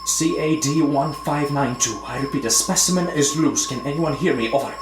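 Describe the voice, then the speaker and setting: A man's voice: a little high, moderate pace, close to an everyday voice. A man playing a terse, exasperated security officer at a secret facility in a sci-fi horror audio drama, clipped and urgent. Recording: echoey room, loud music behind.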